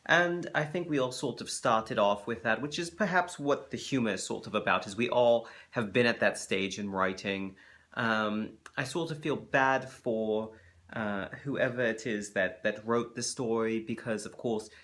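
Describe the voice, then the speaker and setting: A man giving thoughtful, halting commentary as the host of a reaction video. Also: on the low side, fairly bright tone. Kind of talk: reflective commentary.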